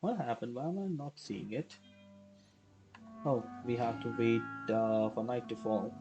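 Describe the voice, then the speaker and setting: Male streamer, mid-pitched, casual and hesitant play-by-play, game music behind. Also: animated, unhurried. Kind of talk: casual live gameplay commentary.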